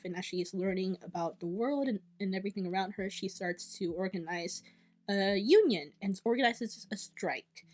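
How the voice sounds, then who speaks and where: A young woman talks straight to camera about the books she plans to read. Her voice sits in a middle pitch, and her delivery is chatty and enthusiastic. She keeps breaking off into quick asides and correcting herself. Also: darkish tone. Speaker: book vlogger. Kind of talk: casual chat to camera.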